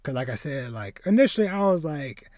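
A man in his thirties hosting a podcast in casual chat, animated and rambling, repeating words as he searches for phrasing. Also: fairly high pitch, a little fast, dry recording, clean studio sound. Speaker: podcast host. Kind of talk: casual chat, retelling a film plot. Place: home room with bookshelves, indoors.

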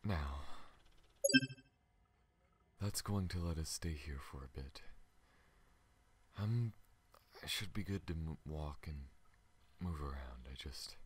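Man voicing a very deep, weary alien pilot. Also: husky, heavily acted. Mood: calm, gentle. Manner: slow, halting, earnest. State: weak and recovering from wounds. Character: gentle, honorable, battle-worn tall male alien pilot. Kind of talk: ASMR audio roleplay.

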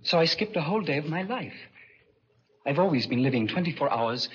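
An actor playing an earnest, haunted walking dead man in a 1940s radio drama, grave and halting. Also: on the high side, lively intonation, husky. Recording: muffled, noisy 1940s radio recording.

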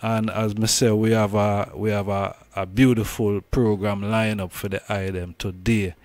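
A man's voice: fairly low pitch, flat delivery, moderate pace, darkish tone, dry recording. A man with a Jamaican accent hosting an interview in respectful, casual Rastafarian Patois. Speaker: host.

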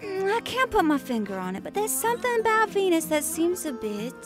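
A woman plays an earnest, sweet snow woman with a gentle lilt. The voice is very high and lively, over clear background music. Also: a little fast. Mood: uneasy. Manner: earnest, hesitant.

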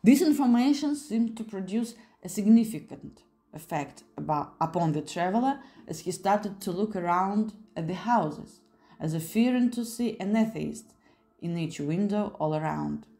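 A woman's voice: mid-range voice, animated, normal speed, dark tone. A woman narrating a literary audiobook, reading from a script.

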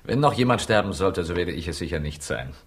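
A fearless, cocky man in a 1960s radio thriller. His voice is very deep. He probes and mocks with sardonic confidence. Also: animated, a little fast. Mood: probing, mocking. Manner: probing, mocking. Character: cocky, probing, sardonic man unafraid of threats. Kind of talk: 1960s German radio crime play acting.

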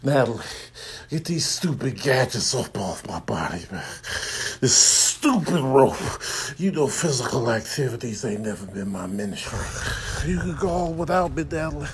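Man as a lazy complaining cat, swinging pitch. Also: sing-song, normal speed, close to an everyday voice. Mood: weary complaining. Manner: dry complaining, flowery slang. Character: lazy, put-upon fat cat and fussy animals. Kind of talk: comic animal voice-over for viral clips. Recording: noisy compressed phone-clip audio.

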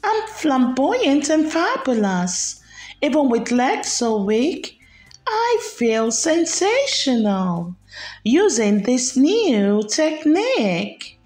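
Lady doing a high girly flamingo for a kids storybook, theatrical and singsong, roomy sound. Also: big pitch swings, drawn-out words, darkish tone, faint music behind, heavily acted. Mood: proud. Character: flamboyant, self-doubting young female flamingo, singsong storybook character.

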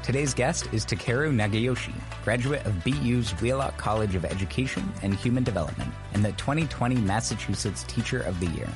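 Low-voiced young man hosting a podcast. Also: fairly quick, hardly any pauses, over music. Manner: friendly, scripted intro then casual questions. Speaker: guest host. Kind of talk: podcast interviewing.

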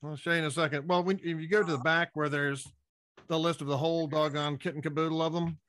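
A man's voice: fairly high pitch, fairly even intonation, quick pace, fairly bright tone, no room sound. An older man who hosts a show, chatting in a folksy, easygoing way during an interview. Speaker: podcast host. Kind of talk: casual interview hosting. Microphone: podcast mic on stand, video call.

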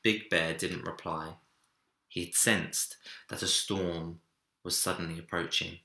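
A young man narrating a children's storybook with dramatic, deliberate phrasing in a room indoors. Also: deep voice, steady tone, sharp tone, slight background noise. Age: young adult. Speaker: story reader. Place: small home room, indoors. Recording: roomy echo.

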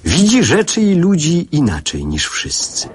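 An actor playing a wistful father narrating his childhood memories in a literary radio play, with a husky voice. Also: fairly high pitch, wildly swooping pitch, a little slow, exaggerated voice.

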